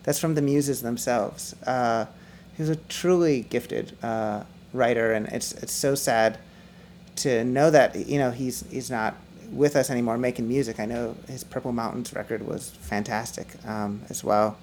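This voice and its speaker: A middle-aged man, a musician guest, chatting casually on a podcast, rambling with restarts and fillers in a high, slightly whiny voice. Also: fairly even intonation, measured pace, darkish tone, slight background noise. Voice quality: self-described high, whiny voice. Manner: rambling, many restarts and fillers, laughs often.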